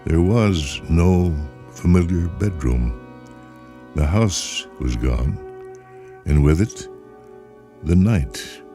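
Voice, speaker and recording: A man's voice: low-pitched, animated, very slow, long pauses, warm tone. A man reading a speculative short story as a dramatic, scripted narration. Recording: music behind the voice.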